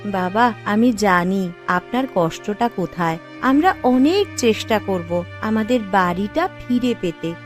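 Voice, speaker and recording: A woman's voice: mid-range voice, lively intonation, a little slow, faint music behind, close to an everyday voice. A woman voicing a caring, practical young daughter-in-law in cartoon dialogue. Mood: caring, reassuring. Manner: practical, reassuring.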